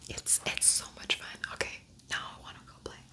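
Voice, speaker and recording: A woman whispering in a breathy, unvoiced voice, chatting casually and a little ramblingly through an ASMR makeup tutorial. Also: brisk. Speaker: ASMR beauty vlogger.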